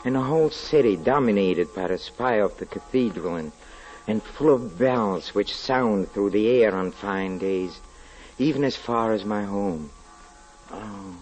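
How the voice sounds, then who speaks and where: An actor playing a sensitive, nervous French gentleman in a 1940s radio drama, speaking haltingly and repeating his words as if writing a diary. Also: sits low, low-quality recording. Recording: vintage 1940s radio broadcast with music.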